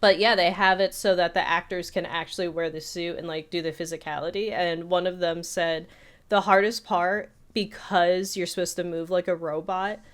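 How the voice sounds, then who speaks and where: A woman's voice: on the low side, steady tone, normal speed. A woman co-hosting a podcast, chatting casually about film in a rambling way with lots of "like". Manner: rambling, peppers talk with "like". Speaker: podcast co-host. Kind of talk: casual film discussion chat.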